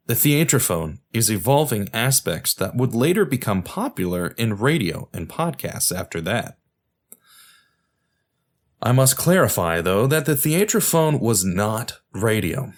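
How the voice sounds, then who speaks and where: Man narrating a history podcast, lively pitch. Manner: explanatory, addresses the listener directly. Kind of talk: scripted documentary narration.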